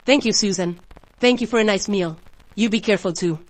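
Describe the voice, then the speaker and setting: A grateful, soft-hearted mother, acted by a woman in a radio drama. Her voice sits at a middle pitch, and she speaks politely and tenderly. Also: fairly quick, close to an everyday voice. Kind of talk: scripted radio drama dialogue.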